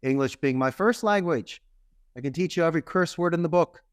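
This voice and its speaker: A man's voice: on the high side, brisk, smooth, dry recording, clean studio sound. A man in his fifties with a Philadelphia accent, telling his story as a guest in an emphatic, speech-like way. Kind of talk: interview storytelling.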